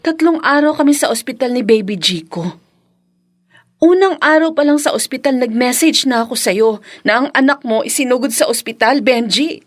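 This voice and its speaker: A woman's voice: high voice, moderate pace. An actress with a Filipino accent playing a devoted, anxious young mother and hurt wife in a radio drama. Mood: hurt, accusing.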